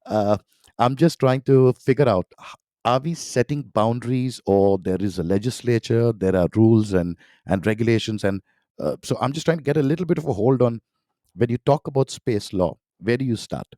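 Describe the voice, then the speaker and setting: A man's voice: medium pitch, moderate pace, mellow, no room sound, clean studio sound. A man hosting a podcast, welcoming a guest with an enthusiastic, effusive introduction and asking long questions. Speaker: podcast host.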